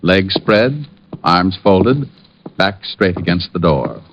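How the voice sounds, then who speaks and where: An actor playing a wry, unflappable private detective in 1940s radio drama style. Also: male voice, deep voice, lively intonation, slow pace, background noise, exaggerated voice. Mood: dry narration. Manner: wry, flippant, hard-boiled. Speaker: lead actor and narrator. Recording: dull old radio recording with music.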